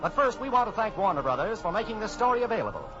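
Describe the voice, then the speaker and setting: A man's voice: high-pitched, sing-song, very fast. A man announcing on 1940s radio, delivering scripted copy with polished, emphatic salesmanship. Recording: muffled 1940s radio broadcast, faint music.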